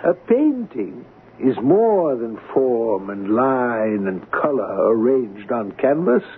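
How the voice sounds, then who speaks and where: An actor playing a courteous, devout, scholarly male art appraiser in a radio drama, speaking formally and with measured care. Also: mid-range voice, big pitch swings, a little hiss or hum. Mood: reverent, lofty. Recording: muffled vintage radio broadcast.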